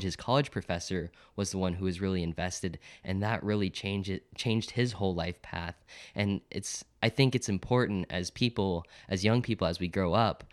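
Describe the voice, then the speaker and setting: A man hosts an interview and asks engaged, conversational questions. His voice is very deep. The recording is dry and close, with no room sound. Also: no echo.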